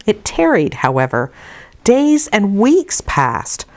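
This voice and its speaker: A woman narrating a literary story in a measured, careful reading manner. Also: mid-range voice, huge pitch swings, darkish tone. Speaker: audiobook narrator.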